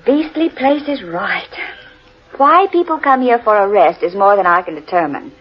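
Weary, husky-voiced lady. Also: mid-range voice, expressive, moderate pace. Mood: weary, fed up. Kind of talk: 1940s radio drama acting. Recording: muffled old radio recording.

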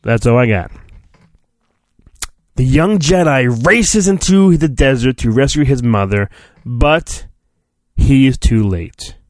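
A man giving a mock-dramatic storybook reading, theatrical in delivery, dropping into goofy, deadpan, sarcastic asides. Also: mid-range voice, takes it slowly, pauses often, dry recording, studio quality. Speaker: podcast host.

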